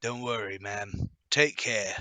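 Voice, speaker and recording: A man's voice: fairly low pitch, takes it slowly, bright, forceful tone, dry recording, pitched below the performer's own voice, heavily acted. A man voicing a grim, terse Scotland Yard policeman in a radio drama. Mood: grave, hushed. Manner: terse, ominous. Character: grim, low-voiced male police officer from Scotland Yard.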